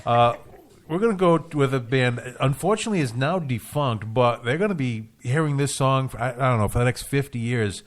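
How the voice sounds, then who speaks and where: A man's voice: mid-range voice, lively intonation, brisk. A man hosting a local radio talk show, joking with his guest and often restarting his sentences. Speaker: radio host. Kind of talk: casual radio interview.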